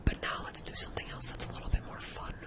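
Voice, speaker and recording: Whispering ASMR spreadsheet tutor. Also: fast talker. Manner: informal, thinks aloud while demonstrating.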